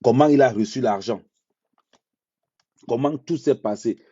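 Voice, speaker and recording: A man speaking bluntly in an unscripted live monologue, repeating phrases for emphasis and talking slowly and deliberately. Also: medium pitch, dark tone, slightly rough, no echo. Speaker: social media commentator. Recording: dull lo-fi dry sound.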